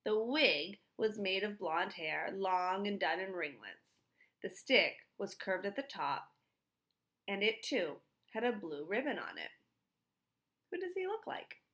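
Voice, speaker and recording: A woman narrates a children's storybook with expression. Her voice is bright and sits at a middling pitch. She reads as a storyteller addressing young listeners. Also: very animated. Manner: pauses to put questions to young listeners. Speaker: storyteller reading a children's book aloud. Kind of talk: expressive read-aloud narration.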